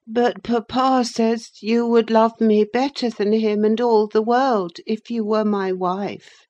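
A woman's voice: on the high side, fairly even intonation, slow, darkish tone, lo-fi sound, pitched above the performer's own voice. A woman voicing a sickly, spoiled boy in an audiobook, petulant, needy and spiteful. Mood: wheedling. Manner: petulant, wheedling. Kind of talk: dramatised audiobook reading of a classic novel.

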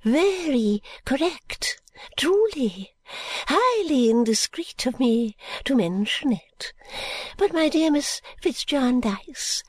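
A woman's voice: sits high, lively intonation, no echo, pitched above the performer's own voice. Woman doing Miss Flite, a whispery eccentric old lady. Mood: confiding. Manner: breathy whispering, slow and confiding. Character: eccentric fluttery elderly lady, confiding and mysterious.

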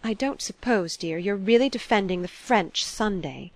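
A woman's voice: mid-range voice, normal speed, dry recording, natural voice. Lady doing a genteel older society mother, prim and indignant, dry close sound, storybook style. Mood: indignant, disapproving.

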